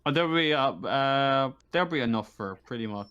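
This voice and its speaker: Halting man running a tabletop game. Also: medium pitch, animated, pushed and bright, smooth, muffled recording. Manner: halting, repeats phrases while working out descriptions. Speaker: game master. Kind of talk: improvised tabletop game narration.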